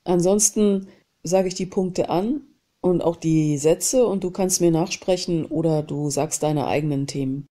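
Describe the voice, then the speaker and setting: A woman with a cold hosting a self-help channel, calmly guiding a tapping session and repeating short phrases between named tapping points. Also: fairly low pitch, unhurried. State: has a cold. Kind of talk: guided EFT tapping session.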